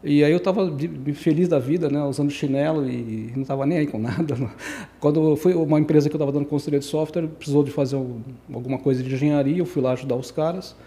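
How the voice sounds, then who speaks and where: Man in his 60s, podcast guest; quick, mellow, rambling delivery that keeps self-correcting. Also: fairly high pitch. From Brazil. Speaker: guest engineer. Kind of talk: casual podcast interview.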